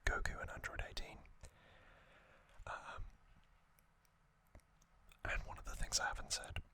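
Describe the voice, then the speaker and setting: An ASMR host whispering an explainer in a hushed delivery, with frequent pauses.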